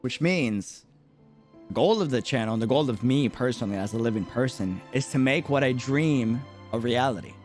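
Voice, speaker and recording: A man giving casual live stream commentary on a game, musing haltingly and often checking for agreement. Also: mid-range voice, expressive, darkish tone. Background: game music.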